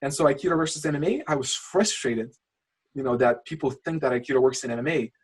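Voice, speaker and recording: A man's voice: mid-range voice, fast talker, husky. A man from Lithuania, not a native English speaker, coaching over a video call in a rambling, passionate way with many restarts. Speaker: channel coach. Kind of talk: casual screen-share coaching call.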